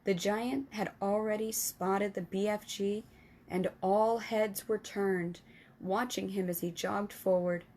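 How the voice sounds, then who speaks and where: A woman reading a children's book aloud for a storytime. Her delivery is calm and clear, with a steady, storytelling pace. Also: mid-range voice, slow pace. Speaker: storytime reader.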